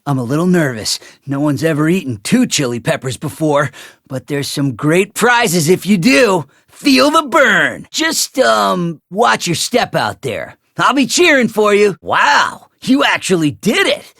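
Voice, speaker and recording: An actor playing an excitable, anxious but friendly islander in a cartoon video game, exclaiming and eager. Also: mid-range voice, a little slow, no room sound, clean studio sound, exaggerated voice. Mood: eager, nervous.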